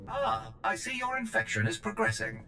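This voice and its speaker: An actor playing a polite, precise, matter-of-fact medical droid in a sci-fi video game. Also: male voice, sits high, very animated, normal speed, music in the background. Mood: clinical. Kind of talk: acted video game dialogue.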